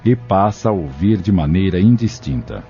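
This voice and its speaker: Casting: male storyteller, deep voice, scripted drama narration, muffled sound with music. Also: lively intonation, moderate pace. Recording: muffled lo-fi, loud music behind.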